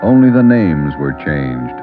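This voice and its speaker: A man giving a solemn, deliberate scripted announcement in 1940s radio style. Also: extremely low pitch, very animated. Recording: muffled, phone-like old radio recording. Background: loud music.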